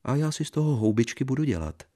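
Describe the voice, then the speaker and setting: A lazy, bored young wife coos and wheedles in a soft, teasing way, like a little girl. A man reading a story voices her in a husky, very deep voice. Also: very animated, heavily acted. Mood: coaxing lazy.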